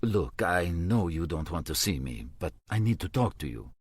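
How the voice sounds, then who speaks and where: A man's voice: sits low, big pitch swings, moderate pace, husky, dry recording, clean studio sound, exaggerated voice. A man voicing an anxious middle-aged priest who speaks earnestly and apologetically. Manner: earnest, pleading.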